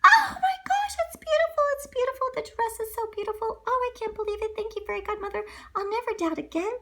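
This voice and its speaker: A woman doing a high, gushing young heroine. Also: lively intonation, fast talker, fairly bright tone, heavily acted. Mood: gushing grateful. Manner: breathless, gushing. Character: sweet young woman heroine, gentle and grateful.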